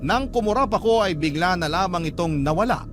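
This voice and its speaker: A man's voice: high voice, expressive, brisk, music in the background. A Filipino man playing a young narrator who earnestly recounts his ghostly encounters in a dramatic radio drama. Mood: earnest, uneasy.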